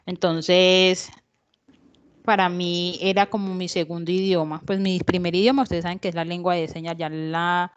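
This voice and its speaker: A woman working as a sign language interpreter, voicing the guest's answers in the first person in a halting way, searching for words and using frequent fillers. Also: lowish voice, level tone, clear, resonant tone, highs cut off.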